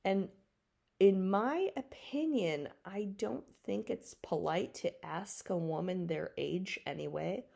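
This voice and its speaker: Slow-talking, creaky-voiced woman in her forties. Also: fairly low pitch, animated, dark tone. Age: 40s. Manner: frank, matter-of-fact, rambling. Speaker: podcast host answering listener questions. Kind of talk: casual unscripted solo podcast talk.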